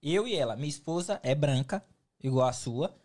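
A man's voice: fairly high pitch, a little fast. A Brazilian man in a casual podcast chat, telling stories with plenty of slang. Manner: slangy storytelling, relaxed.

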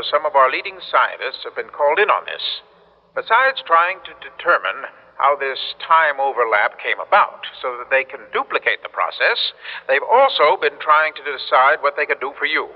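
An earnest, insistent male official acted by a man in a classic radio play. He explains things formally and urgently, with an animated melody. The recording is dull, with a long hall reverb around him. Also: mid-range voice, natural voice. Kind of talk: classic radio drama acting.

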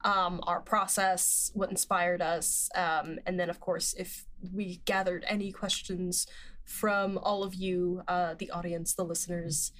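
A woman's voice: on the low side, little pitch movement, measured pace, a bit bright. A woman hosting a podcast in casual, unscripted chat, phrasing things informally and a little hesitantly. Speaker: podcast host and series writer.

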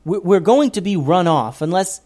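A man's voice: high-pitched, animated, normal speed, a little mellow, voice pushed up. A man reading a storybook aloud and voicing a polite young girl who hesitates and stammers. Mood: nervous.

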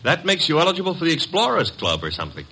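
A cocky sidekick in an old radio mystery, breezy and wisecracking. His voice is bright and forceful and swings freely in pitch as he teases. There is some noise on the old recording. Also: huge pitch swings, rapid-fire, full character voice, rough recording. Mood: teasing. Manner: wry, teasing, cocky. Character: wisecracking male assistant to a detective, breezy and girl-crazy. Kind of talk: old-time radio drama acting.

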